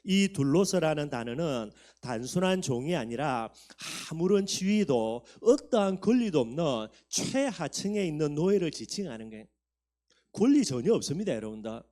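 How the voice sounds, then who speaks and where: A man's voice: on the high side, big pitch swings, normal speed, mellow. Man in his fifties preaching earnestly. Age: 50s. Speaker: pastor. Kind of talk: sermon.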